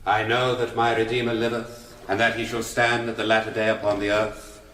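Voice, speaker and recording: An actor plays a grave English country parson in a radio drama. His fairly low voice is measured and formal, with the solemn weight of church liturgy. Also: completely flat delivery, fairly bright tone, slight background noise, close to an everyday voice, low-quality recording. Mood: solemn, declaiming.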